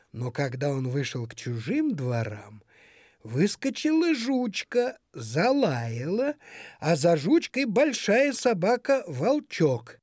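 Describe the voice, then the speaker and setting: A woman narrating a children's story in an expressive, dramatic storytelling manner. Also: deep voice, husky, dry recording, clean studio sound. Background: music.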